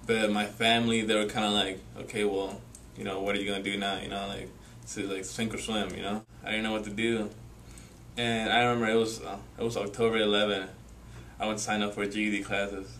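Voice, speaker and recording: A man's voice: lowish voice, little pitch movement, brisk, a bit bright. An 18-year-old man speaking casually as a young father, often filling his talk with "you know" and "like.". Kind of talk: candid personal testimony. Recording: distant mic with faint noise.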